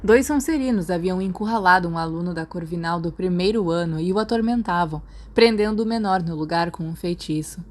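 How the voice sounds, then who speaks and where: A woman's voice: lowish voice, fairly quick, hardly any pauses. A woman with a Brazilian accent reading a story as a scripted audiobook narrator. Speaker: podfic narrator.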